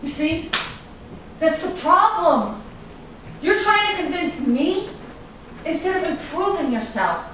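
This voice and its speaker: A woman's voice: very high-pitched, lively intonation, slow pace, long pauses, a bit bright, exaggerated voice. A woman playing a wronged, defiant partner in a staged drama, accusing and emphatic, in a very echoey room. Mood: scornful. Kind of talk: staged play reading, dramatic dialogue. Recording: very echoey room, distant mic, faint noise.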